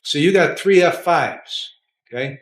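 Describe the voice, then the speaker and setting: A man's voice: on the high side, measured pace. An American man speaking as a judge in a courtroom, questioning and lecturing bluntly, repeating himself and often checking with "okay?".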